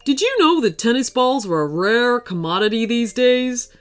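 A woman's voice: on the high side, lively intonation, moderate pace, natural voice. Quirky gossip for a kids' story, odd timbre, confiding and dramatic, bouncy intonation, as a woman narrator puts it on.

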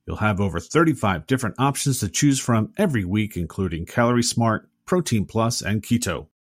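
A man's voice: on the low side, animated, a little fast, dry recording, clean studio sound. A man who hosts a podcast, reading a scripted advertisement. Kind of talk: scripted ad read.

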